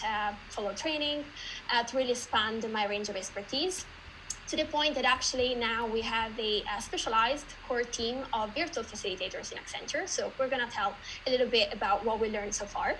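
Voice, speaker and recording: A woman from Italy with an Italian accent, giving an online meetup presentation in a lively, chatty way with many "uh" fillers. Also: on the high side, fairly quick, a little hiss or hum, poor audio.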